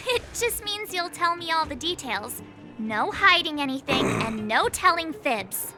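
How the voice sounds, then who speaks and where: A woman voicing a playful, easily flustered young girl in a video game, perky, chatty and expressive. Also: very high-pitched, measured pace, music in the background, exaggerated voice. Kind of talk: animated video game voice acting.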